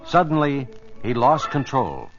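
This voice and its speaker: A man's voice: medium pitch, big pitch swings, measured pace, over music. A man delivering formal, dramatic scripted narration as a 1950s radio announcer. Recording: muffled old radio transcription.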